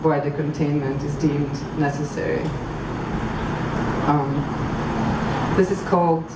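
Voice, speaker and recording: A woman from Croatia reading poems at a public event, halting and thoughtful between poems and measured while reading. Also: low voice, fairly even intonation. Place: outdoor public venue. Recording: echoey, far from mic, street noise.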